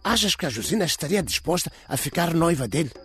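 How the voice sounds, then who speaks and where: Man playing an anxious defensive father, radio drama, cowardly, very varied pitch. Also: big pitch swings, fast talker, a bit bright, faint music behind. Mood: anxious, hopeful.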